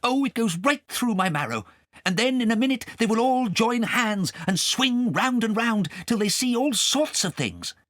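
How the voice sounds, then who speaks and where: Man voicing excitable cat companion, pitched up. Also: normal speed, no room sound, clean studio sound. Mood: excited.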